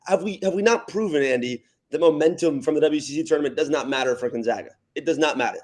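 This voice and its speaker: A man's voice: on the high side, fast talker, darkish tone. A man guesting on a sports podcast, chatting casually and emphatically, repeating himself to press a point. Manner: emphatic, repeats questions for effect. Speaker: podcast guest, arena PA announcer.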